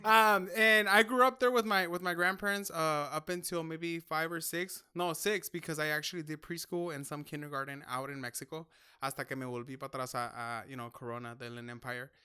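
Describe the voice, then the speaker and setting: A man's voice: fairly high pitch, steady tone, fairly quick, pushed and bright, smooth. A man from Southern California, co-hosting a casual talk show and mixing Spanish into his English. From Southern California, United States. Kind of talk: casual chat.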